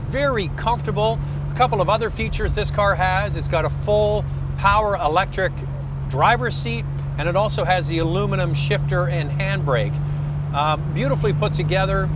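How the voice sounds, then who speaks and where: A middle-aged man with a fairly high voice presents a car review. He talks casually and with enthusiasm, like an experienced host. There is clear vehicle hum and outdoor noise behind him.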